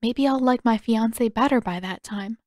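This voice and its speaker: A woman voicing a polite, dutiful young noblewoman with formal, measured phrasing in an audiobook story. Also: fairly high pitch, darkish tone, dry recording, studio quality, lightly acted. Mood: resigned.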